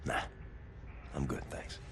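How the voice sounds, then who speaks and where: An actor playing a stoic, battle-worn soldier in a game, with a muted, deadpan delivery. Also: male voice, slow, a little hiss or hum. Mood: casual. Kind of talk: low-key game cutscene acting. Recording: loud music over the voice.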